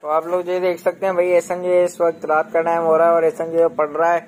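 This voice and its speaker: Young man, casual vlog, slightly high voice, flat monotone delivery, phone held close. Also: fast, a little hiss or hum, poor audio. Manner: chatty, with many filler phrases. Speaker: vlogger. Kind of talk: casual vlog talk to camera. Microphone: phone held close, selfie framing.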